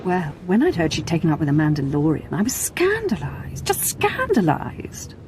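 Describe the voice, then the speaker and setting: A snobbish socialite from a video game, gushing and affected. Her voice is lowish but swoops extremely high and back down. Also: wildly swooping pitch, normal speed, darkish tone, slight background noise, poor audio. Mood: scandalized, gushing. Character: gossipy, snobbish female party guest, gushing.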